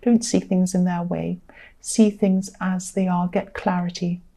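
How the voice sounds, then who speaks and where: Croaky, tired woman reading tarot. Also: on the low side, little pitch movement, a little slow, warm tone. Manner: earnest, repeats phrases for emphasis. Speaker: tarot reader. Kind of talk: casual tarot reading to camera.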